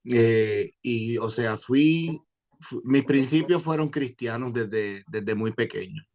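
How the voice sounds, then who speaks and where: Puerto rican guy, evangelist, lots of eh pauses, mid pitch voice. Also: a little mellow. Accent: Puerto Rican Spanish. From Puerto Rico. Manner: hesitant, many "eh" fillers, quotes scripture. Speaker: evangelist guest. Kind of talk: interview testimony.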